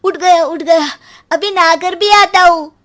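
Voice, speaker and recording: Young cartoon schoolboy, high-pitched, slow drawl, cheeky, lazy, whiny. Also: female voice, a bit bright. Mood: hurried. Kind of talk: Hindi moral story cartoon voice acting.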